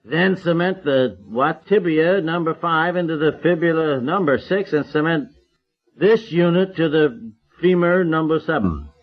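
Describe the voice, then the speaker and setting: An actor playing a grumbling, flustered but soft-hearted family father in an old-time radio drama. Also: fairly high pitch, lively intonation, a little slow. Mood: grudging, plodding. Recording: dull lo-fi vintage radio recording.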